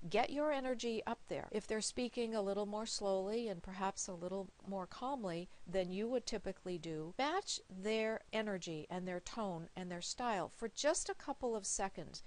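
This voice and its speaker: A woman's voice: mid-range voice, a little mellow. A woman coaching viewers with practical advice in an emphatic, encouraging, conversational way. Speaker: recruiter giving interview coaching.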